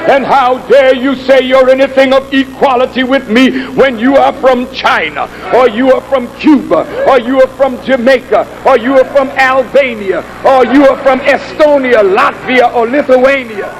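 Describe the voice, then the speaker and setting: A man preaching a sermon in an emphatic, deliberate oratorical manner, building with repetition and rhetorical questions. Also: very high-pitched, faint music behind, rough recording. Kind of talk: sermon, oratorical public address.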